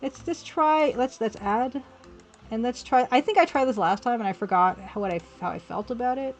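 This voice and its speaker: Woman streaming art, kinda high voice, just chatting and thinking out loud, bit of music in the back. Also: moderate pace, highs cut off. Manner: informal, thinking aloud, reading out chat comments. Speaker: livestream host and pixel artist. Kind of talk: casual chat while drawing on a livestream.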